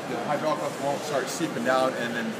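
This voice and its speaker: A man's voice: mid-range voice, steady tone, brisk. A young man explaining a product plainly, with many ums, at an indoor boat show. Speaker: sales representative. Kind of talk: product pitch in an interview. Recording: loud crowd and hall noise.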